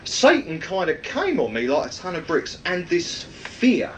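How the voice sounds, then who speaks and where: Loud preacher with lively pitch. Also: male voice, a little slow, a little hiss or hum. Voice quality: loud, projecting voice. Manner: rambling, with many fillers. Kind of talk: anecdotal sermon testimony.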